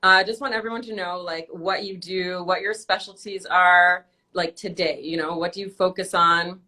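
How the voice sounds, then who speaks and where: A woman's voice: lowish voice, steady tone, moderate pace, bright, forceful tone. A young woman from Canada hosting a casual live-stream interview, teasing her guest and laughing often. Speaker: host.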